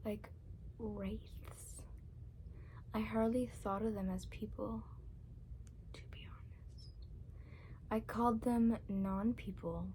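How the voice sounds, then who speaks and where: An ASMR reading by a soft-spoken woman who often sinks into a whisper. Her delivery is unhurried, with a dark, mellow tone and some vocal fry. A faint background noise sits under the voice. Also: mid-range voice, low-quality recording. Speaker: ASMR reader. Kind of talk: ASMR reading aloud of a novel.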